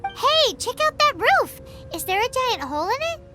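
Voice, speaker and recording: A woman's voice: very high voice, big pitch swings, moderate pace. A woman voicing a small, bubbly female floating companion sprite in a game cutscene, who talks about herself in the third person. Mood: cheeky. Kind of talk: video game cutscene voice acting. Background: game music.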